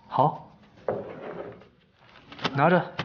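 An actor in his twenties playing a cool, demanding young company boss with a terse, deadpan manner in a TV drama. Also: extremely slow, muffled recording, slight background noise. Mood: coolly demanding. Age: 20s. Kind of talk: Mandarin TV romantic comedy drama acting. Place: office interior, TV drama production.

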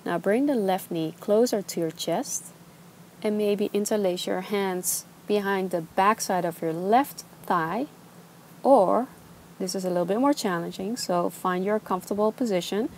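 Calm woman guiding a yoga class. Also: medium pitch, slight background noise. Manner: calm, slow, soothing cues. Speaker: yoga instructor. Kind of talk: guided yoga instruction. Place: outdoors on a beach.

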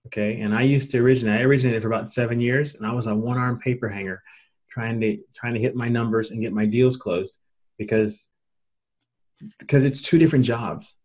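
A man's voice: mid-range voice, fairly even intonation, a little fast, darkish tone, poor audio. Plain-spoken middle-aged American man presenting. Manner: plain-spoken, colloquial, explanatory. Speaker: webinar presenter. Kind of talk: informal training presentation.

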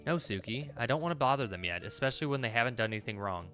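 A calm woman from a cozy video game read aloud by a man, reluctant to make a fuss. The voice is bright and reasons in a placating way, with faint music behind it. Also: medium pitch, racing. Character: calm, reluctant woman innkeeper soothing a worried colleague.

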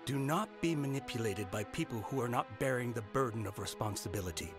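An actor playing a grave, reflective narrator in a historical game cutscene, measured and sombre. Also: male voice, mid-range voice, music in the background, natural voice. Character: grave, reflective male narrator of a revolution drama.

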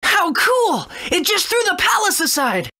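Excitable boy hero, high, lively swooping pitch, enthusiastic game character. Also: measured pace, heavily acted. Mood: excited. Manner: enthusiastic, wide-eyed. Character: eager, excitable adventurous young boy hero. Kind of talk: video game voice acting.

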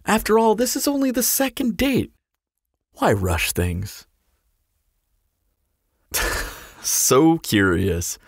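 A man's voice: sits high, wildly swooping pitch, takes it slowly, pauses often, no room sound, studio quality, exaggerated voice. A man playing a teasing, flirtatious immortal vampire in an audio roleplay, with a playful, sardonic, theatrical drawl. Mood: coy, teasing. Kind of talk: scripted audio roleplay acting.

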